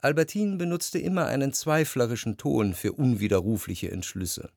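A man's voice: medium pitch, moderate pace, vocal fry, a little mellow, no echo, studio quality, close to an everyday voice. Actor as a brooding, jealous male narrator. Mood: brooding, analytical. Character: jealous, brooding male lover recounting his obsessions. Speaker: first-person narrator. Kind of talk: literary radio drama narration. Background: music.

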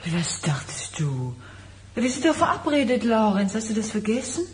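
An actress in a German radio play voicing a touchy, suspicious pregnant wife who probes and reproaches. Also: female voice, on the high side, sing-song, normal speed, background noise. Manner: probing, reproachful.

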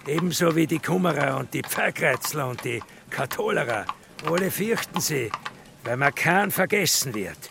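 A rural Austrian caretaker and petty Nazi official in a radio drama. His highish, gravelly voice gushes servility with a sneer underneath, over a faintly noisy scene. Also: expressive, moderate pace. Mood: proud, menacing. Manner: obsequious, effusive, with sneering undertone.

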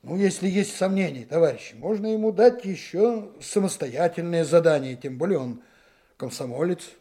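Kindly, slightly high-voiced policeman. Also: lively intonation, dark tone. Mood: advocating. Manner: measured, hesitant, considerate. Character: fair-minded, kindly male police colleague defending a novice. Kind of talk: radio drama acting.

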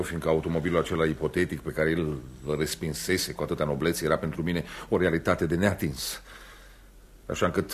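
An actor in a radio play voicing an ambitious, polite young man with an earnest, measured delivery. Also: sits low, slight background noise, natural voice. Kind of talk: Romanian radio theatre acting.

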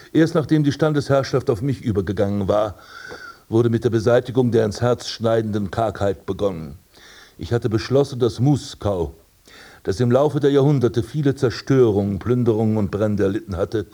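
An actor playing a haughty, vain aristocratic prince in a radio play, speaking in a pompous, formal manner. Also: male voice, on the low side, dark tone, close to an everyday voice. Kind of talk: German radio play acting.

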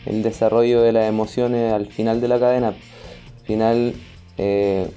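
A man's voice: fairly low pitch, level tone, measured pace, dark tone, smooth, soft background music. A man with a Chilean accent in casual conversation, searching for words and using colloquial speech. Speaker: guest, podcast creator.

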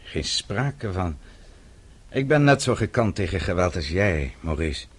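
An actor playing a vengeful middle-aged father in a radio play, speaking in a measured, deliberate and formal way. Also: low voice, big pitch swings, husky, exaggerated voice. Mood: calm triumph. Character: vengeful father, composed, coldly methodical older man.

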